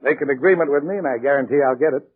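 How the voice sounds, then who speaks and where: An American actor playing a slick, ambitious, pushy prospector with scheming confidence in a 1940s radio drama. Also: male voice, mid-range voice, racing, breathy edge, close to an everyday voice. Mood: bargaining. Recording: tinny narrow-band 1940s radio recording.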